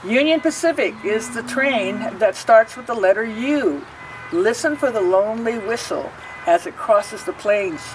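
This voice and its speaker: A woman reading a rhyming picture book aloud, pausing to explain the pictures. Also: a little high, expressive, far from the mic, background noise. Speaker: storybook reader.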